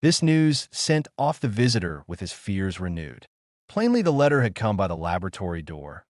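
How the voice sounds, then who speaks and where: A man narrating a dramatic audiobook in a measured, formal literary manner. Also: sits low, big pitch swings, no room sound, clean studio sound.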